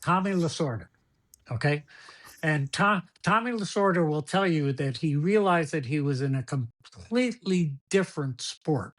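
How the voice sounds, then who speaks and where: A man's voice: fairly high pitch, lively intonation, moderate pace. An older man whose first language is Spanish, telling rambling stories as a podcast guest, often repeating words and laughing as he goes. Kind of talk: storytelling interview.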